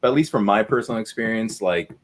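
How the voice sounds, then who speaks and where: A man's voice: lowish voice, quick pace. A man in his thirties whose first language was Vietnamese, speaking as a guest in an earnest, reflective way. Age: 30s. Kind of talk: casual discussion.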